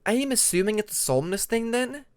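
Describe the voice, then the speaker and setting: Guy playing a nosy young she-dragon, high and lively, keeps pressing with questions, audiobook style. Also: a little fast, no echo. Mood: curious, pressing. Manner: questioning, insistent. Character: inquisitive, pushy young female dragon friend.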